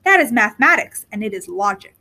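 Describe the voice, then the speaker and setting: A woman's voice: medium pitch, very animated, fast talker, bright, forceful tone. A woman reading the part of a bitter, practical mother in a storybook, matter-of-fact and insistent. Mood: cold, logical. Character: bitter, coldly practical young mother, sharp-tongued wife.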